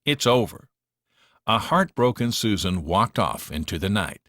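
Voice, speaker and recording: A man narrating a children's storybook in an expressive, dramatic storytelling manner. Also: low-pitched, normal speed, dry recording, studio quality.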